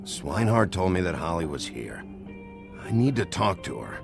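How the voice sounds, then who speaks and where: Low, gravelly hard-boiled investigator. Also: male voice, sing-song, normal speed, full character voice. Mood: blunt, businesslike. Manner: terse, gruff. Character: gruff, hard-boiled male investigator, impatient and blunt. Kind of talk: video game voice acting. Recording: music behind the voice.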